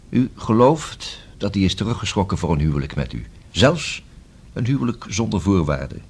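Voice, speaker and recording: Deep, husky police inspector. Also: male voice. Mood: pointed, insistent. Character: male police inspector, probing, courteous but blunt. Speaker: actor in a radio play. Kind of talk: Dutch radio drama dialogue.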